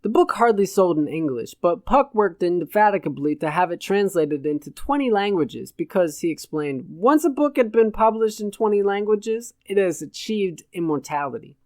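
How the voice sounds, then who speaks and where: A man narrating satirical fiction from a script in a measured, literate way. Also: sits high, animated, a little mellow, dry recording, studio quality. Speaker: audiobook narrator.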